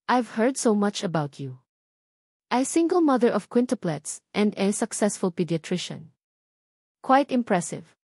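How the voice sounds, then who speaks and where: A woman's voice: mid-range voice, sing-song, measured pace, a little mellow, breathy edge, no room sound, clean studio sound. Woman narrator as an elegant, icy rich wife. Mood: coolly polite. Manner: falsely polite, probing, pointed.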